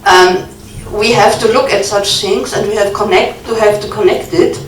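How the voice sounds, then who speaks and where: A woman in the audience giving a long earnest comment, halting with many ums. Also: mid-range voice, fairly even intonation. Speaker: audience member. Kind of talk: conference Q&A comment. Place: conference hall. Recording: echoey hall, distant mic, faint noise.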